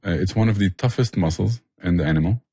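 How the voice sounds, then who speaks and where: A man's voice: low-pitched, fast talker, mellow, breathy edge, no echo. A man explaining things with passion in a conversational interview. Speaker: barbecue restaurant owner and chef, interview guest.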